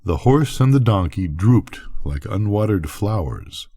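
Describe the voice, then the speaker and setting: An older man narrates a bedtime story. His voice sits fairly low and moves with a lot of melody, and he phrases things deliberately and expressively. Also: very animated, dark tone. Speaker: narrator, grandfather reading aloud.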